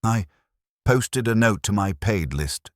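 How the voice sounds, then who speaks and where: A man's voice: lowish voice, animated, slow, dry recording, clean studio sound, voice pushed up. A man voicing a defensive young woman journalist, cornered, in a detective story.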